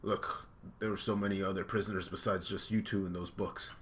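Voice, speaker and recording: A calm, loyal fishman warrior speaks in a steady, measured voice, as a man reads him from a comic. His tone stays low and level. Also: natural voice.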